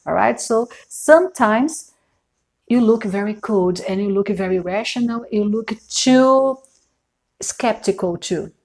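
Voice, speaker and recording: Slow Brazilian woman reading tarot. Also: mid-range voice, a little mellow. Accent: Brazilian Portuguese. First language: Portuguese. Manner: slow and deliberate, often hesitating and searching for English words, repeating phrases. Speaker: tarot reader. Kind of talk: unscripted tarot reading to camera.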